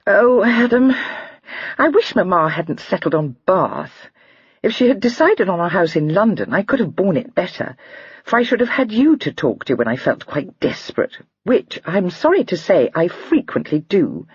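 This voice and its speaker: Bubbly young lady going way up high, breathy and giggly, woman reading the part. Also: sing-song, moderate pace, no room sound, dull audio, heavily acted. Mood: chattering. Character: lively excitable young lady, teasing and girlish.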